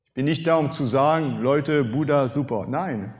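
A man in his fifties, a native French speaker, preaching a sermon with animated rhetorical questions and emphatic swings in loudness. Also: mid-range voice, normal speed. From France. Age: 50s. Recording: long hall reverb.

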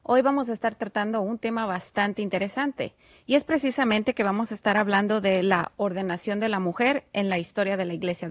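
A woman's voice: medium pitch, dry recording. A woman hosting a televised interview, speaking in a formal, courteous way with some hesitations. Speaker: TV host. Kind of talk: hosted interview. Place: television studio.

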